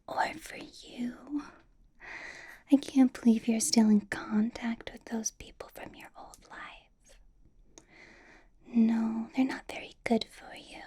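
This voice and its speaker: A woman performs a female vampire boss in an ASMR roleplay, whispering in a breathy, hushed voice. She speaks slowly and deliberately, doting on the listener with possessive sweetness. A controlling menace sits under the soft, mellow tone. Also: a little high. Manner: breathy, slow whispering with soft little laughs.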